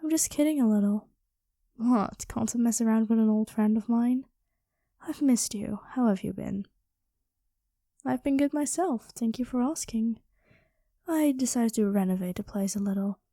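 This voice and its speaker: Woman voicing a soft, mellow, whispery dragon queen. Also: fairly high pitch, normal speed, pauses often, no room sound, clean studio sound. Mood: teasing, welcoming. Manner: teasing, coy, intimate, often dropping into whispers. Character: playful possessive dragon queen, affectionate with captive royal. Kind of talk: improvised ASMR roleplay.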